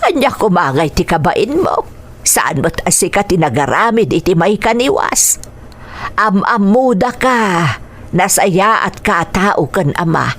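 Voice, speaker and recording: A woman's voice: medium pitch, big pitch swings, measured pace, a bit bright, full character voice. A woman playing a kindly, sympathetic older neighbour with an Ilocano accent in a radio drama.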